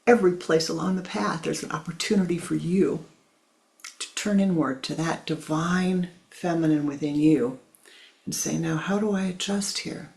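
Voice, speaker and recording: A spiritual teacher speaking softly and tenderly, with a gravelly edge in the voice. Phrases are repeated for emphasis, and listeners are called "my love". Sometimes the voice drops almost to a whisper. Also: mid-range voice, normal speed.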